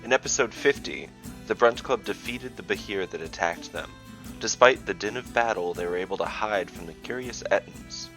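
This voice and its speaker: Low-voiced man narrating a fantasy tale. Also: normal speed, a bit bright, faint music behind. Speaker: storyteller and dungeon master, podcast host.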